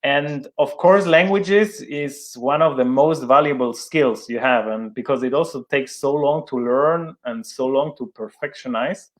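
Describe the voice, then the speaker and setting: A young man, a non-native English speaker, giving an interview at a middle pitch. He hesitates often, doubles back on his words, and laughs lightly at himself. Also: measured pace, few pauses. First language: not English. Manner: hesitant, many fillers and restarts, laughs at himself. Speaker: interview guest, sports event manager. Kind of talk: conversational interview.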